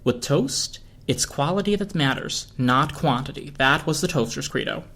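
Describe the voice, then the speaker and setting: A man's voice: mid-range voice, expressive, moderate pace, a bit bright. A man reading a story aloud as narrator, in a dramatic storybook style. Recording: music behind the voice. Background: film score music.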